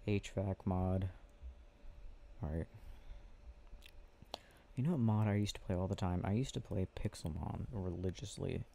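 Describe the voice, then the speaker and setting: A man speaking softly and drowsily in an ASMR boyfriend roleplay, murmuring with unhurried pauses. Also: sits low, vocal fry. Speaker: ASMR roleplay audio creator. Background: game clicking sounds.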